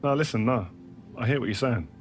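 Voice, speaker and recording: A man's voice: medium pitch, a little fast, soft background music, close to an everyday voice. A man playing an easygoing ex-footballer who asks probing questions as an interviewer in a game cutscene. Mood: probing, casual.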